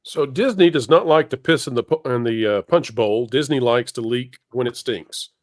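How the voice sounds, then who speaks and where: A man's voice: medium pitch, moderate pace, no echo, studio quality. A man speaking as a panel guest, explaining his opinions bluntly and assertively in conversation.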